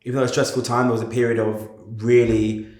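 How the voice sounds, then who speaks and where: Relaxed young man from Croydon. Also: mid-range voice, fast talker. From Croydon, London. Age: 20s. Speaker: interviewee. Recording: roomy echo, faint music.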